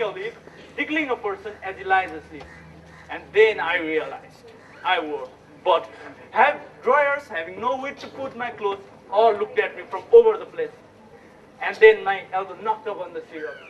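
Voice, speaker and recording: A young man acting a dreamy, rambling character telling a nonsensical tale in a comic school Shakespeare parody. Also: high-pitched. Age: young adult. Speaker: student actor in a school play. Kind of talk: comic stage monologue. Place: school stage, recorded from afar. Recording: muffled, distant, noisy stage recording.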